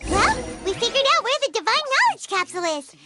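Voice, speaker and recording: A woman voicing a tiny, chirpy, inquisitive female fairy companion in a video game, squeaky and childlike. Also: very animated, quick pace, heavy background noise. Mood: chirpy, satisfied. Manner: chirpy, speaks of self in third person. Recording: loud music behind the voice.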